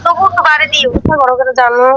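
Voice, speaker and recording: Bright, fast-talking woman. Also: sits high, dull audio. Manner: insistent, repeats herself. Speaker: family member on a video call. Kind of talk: casual family chat. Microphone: phone video call.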